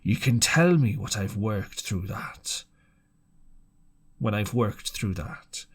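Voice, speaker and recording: A man voicing a gruff, domineering old seaman in an audiobook, with rough, commanding sailor talk. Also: fairly low pitch, expressive, slow, full character voice. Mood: fierce. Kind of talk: character voice in audiobook.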